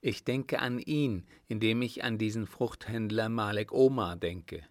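A man voicing a suspicious younger desert man in an audiobook, with a deliberate, insistent and formal manner. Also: on the low side, no echo, clean studio sound, natural voice. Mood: pensive. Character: earnest, suspicious younger desert man advising his elder.

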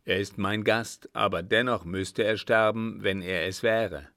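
A man's voice: fairly low pitch, steady tone, a little slow, a bit bright, no echo, clean studio sound. A man voicing a grave, commanding old sheikh in an audiobook, speaking in a solemn, formal and emphatic way. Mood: stern.

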